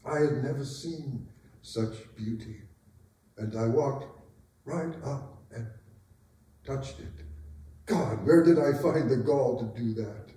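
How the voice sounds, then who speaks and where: A man's voice: mid-range voice, slow, long pauses, warm tone, lightly acted. A man acting a proud, bitter, aging king in a staged dramatic reading, with a theatrical, impassioned delivery. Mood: rueful, wistful. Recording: roomy, echoing hall, distant microphone.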